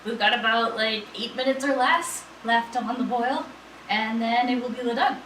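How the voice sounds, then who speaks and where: A woman's voice: a little high, normal speed, fairly bright tone. A middle-aged woman chatting casually and playfully as she cooks on a livestream, rambling and often repeating her words. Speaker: cooking streamer. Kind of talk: casual livestream chat while cooking. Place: small indoor kitchen room. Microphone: distant, several feet away. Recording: roomy echo, distant mic, background noise.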